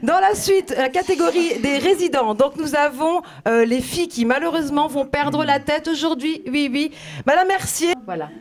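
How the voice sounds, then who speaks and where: A woman's voice: high voice, animated, moderate pace. A woman leading a care home show, introducing the performers in a playful, teasing way. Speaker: care home activity leader hosting the show. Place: care home common room. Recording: crowd noise behind the voice. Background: audience of residents.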